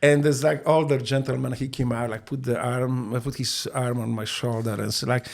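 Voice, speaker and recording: A man from Poland with a Polish accent, a native Polish speaker telling stories as a guest in a casual interview, often halting and repeating words. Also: mid-range voice, level tone, vocal fry.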